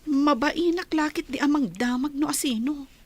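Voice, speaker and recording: A woman's voice: high-pitched, moderate pace, natural voice. A young woman playing an earnest, respectful village girl in a radio drama, in a plaintive, polite manner. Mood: flustered. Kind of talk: Ilocano radio drama acting.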